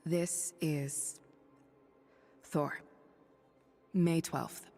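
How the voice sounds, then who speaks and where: A woman voicing a weary, noble superhero thunder goddess for a video game, confiding in measured, breathy speech. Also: low-pitched, fairly even intonation, warm tone, big-room sound, soft background music, full character voice. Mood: reflective. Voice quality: breathy, husky. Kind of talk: video game voice acting, dramatic dialogue and audio-log monologue.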